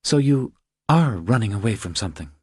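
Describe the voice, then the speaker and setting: Man voicing a soft, husky, guarded woman. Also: fairly low pitch, expressive, a little fast, no echo, studio quality, voice pushed up. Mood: probing. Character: nervous, inquisitive well-spoken woman, wife and mother.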